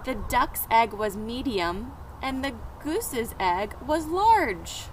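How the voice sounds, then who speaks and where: A woman's voice: a little high, very animated, slow pace. A woman reading a picture book aloud to young children, expressively and with asides to her listeners, recorded outdoors. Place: outdoors near pet chickens. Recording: noisy outdoor recording, urban ambience.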